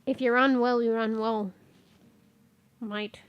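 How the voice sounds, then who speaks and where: Congested high-voiced woman streamer. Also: fairly even intonation, slow, smooth, dry recording, lo-fi sound. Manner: rambling, laughs and swears, reads search results aloud. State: congested, losing her voice. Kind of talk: casual live-stream chat.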